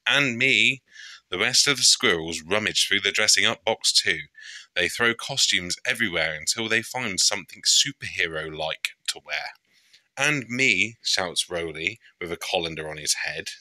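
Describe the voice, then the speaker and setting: A man's voice: deep voice, moderate pace, bright, forceful tone, no echo, poor audio. A man narrating a children's bedtime story as a read-aloud storyteller.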